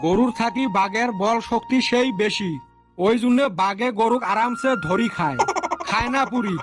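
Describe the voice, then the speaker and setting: A cheeky cartoon schoolboy played by a man with a very high voice. He gives smart-aleck answers as if earnestly explaining, with loud music underneath. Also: moderate pace. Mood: mock-earnest. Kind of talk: comedy cartoon dubbing.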